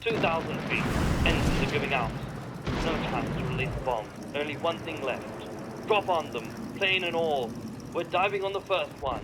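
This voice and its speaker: A man's voice: fairly high pitch, moderate pace, lightly acted. Man acting a bomber lieutenant over engine roar. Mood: desperate, urgent. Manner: clipped, formal military reporting. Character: bomber lieutenant reporting under fire, disciplined, urgent. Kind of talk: radio play acting, military radio report. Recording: radio drama with loud aircraft engine noise. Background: droning engine.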